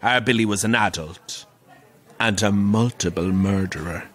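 An actor playing a camp, wry, middle-aged Irish pantomime dame who relishes telling grisly ghost stories in an audio drama. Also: male voice, lowish voice, a little slow, a bit bright. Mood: teasing, theatrical. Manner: theatrical, wry, relishing the tale.

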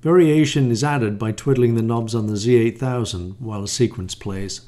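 A man's voice: mid-range voice, moderate pace, dark tone. A man, explaining and demonstrating a product he designed. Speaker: module designer presenting a demo. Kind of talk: product demo, explanatory narration. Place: small room at home, indoors.

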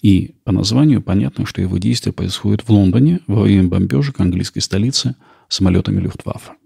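Gravelly, husky male narrator. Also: fairly low pitch, fast talker, mellow, dry recording, clean studio sound. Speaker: podcast host. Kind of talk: scripted documentary narration.

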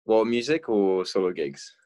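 Young French guy, deep voice, casual hesitant talker, video call audio from a parked car. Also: expressive, mellow. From France. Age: young adult. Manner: halting, many fillers and restarts, laughs easily. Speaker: guest musician. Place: inside a car.

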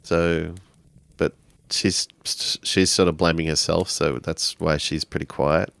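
A man narrating his character's actions in a tabletop role-playing game, halting as he searches for words. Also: extremely low pitch, fairly even intonation, creaky, a bit bright, smooth, no room sound.

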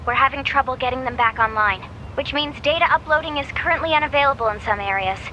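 A woman's voice: sits high, fast talker, pushed and bright, dull audio, a little hiss or hum. A woman playing an earnest, caring young android radio operator in a video game, eager and precise. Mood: earnest briefing. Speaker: military operator.

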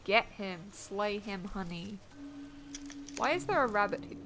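A woman's voice: medium pitch, expressive, unhurried. A woman chatting casually with friends while gaming, with playful, sweary banter. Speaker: gamer playing with friends. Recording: loud game music over voice. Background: loud video game music and effects.

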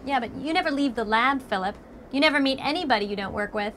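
A woman's voice: high-pitched, quick pace, a bit bright, slight background noise. An actress playing a cool, teasing, mildly exasperated woman in her thirties in a film drama. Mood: dry, exasperated.

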